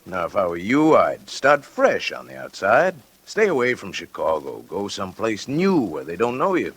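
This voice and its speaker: A man's voice: fairly low pitch, wildly swooping pitch, moderate pace, a bit bright, husky, no room sound, heavily acted. An actor playing a stern prison warden who lectures a prisoner before his release, in 1940s radio acting style.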